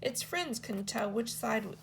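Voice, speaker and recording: A woman teacher reading a children's picture book aloud in an expressive storytelling style. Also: slow, gravelly, a little hiss or hum, rough recording. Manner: expressive read-aloud with lots of pitch movement.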